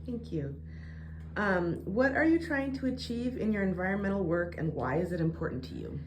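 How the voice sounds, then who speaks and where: Woman interviewer, polite measured questions, faint background noise. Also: mid-range voice, expressive.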